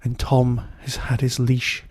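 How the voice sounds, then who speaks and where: A man delivering a solo podcast review in a wry, enthusiastic way, with emphatic pauses. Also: medium pitch, completely flat delivery, slightly rough, no echo.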